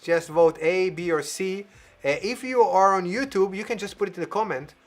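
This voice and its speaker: Man, game-show host, lively, playful, bit high voice, video call. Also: normal speed. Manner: animated, playful, laughs while talking.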